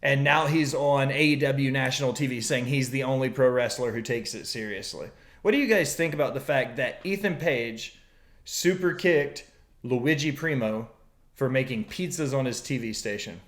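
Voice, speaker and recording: Male podcast host, mid-pitched, playful and hypey, announcer-style intros, casual banter. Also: moderate pace.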